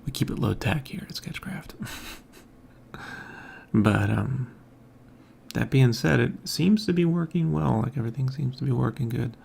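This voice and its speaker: A man hosting his own podcast, talking casually and softly in a quiet, mumbling way from a home room with an overhead mic. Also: medium pitch, lively intonation, measured pace, creaky, long pauses, husky. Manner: quiet, soft-spoken, mumbling. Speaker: podcast host. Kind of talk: casual morning monologue. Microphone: hanging overhead mic.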